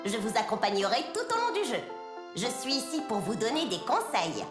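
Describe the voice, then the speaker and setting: A cheerful cartoon cat explains a virtual game like a presenter. The voice is fairly high and animated, sitting in a roomy echo with music behind it. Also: female voice, a little fast. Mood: bright, instructive. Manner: clear, instructive, presenter-like. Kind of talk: scripted cartoon game instructions.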